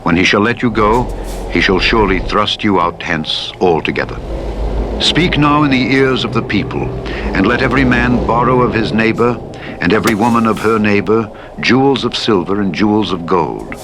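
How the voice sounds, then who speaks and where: A man with a fairly low voice narrates scripture. His delivery is solemn, formal and measured, in the manner of a Bible audiobook. Clear engine and road noise sits behind the reading. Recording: steady vehicle noise over playback. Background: truck simulator engine and road noise.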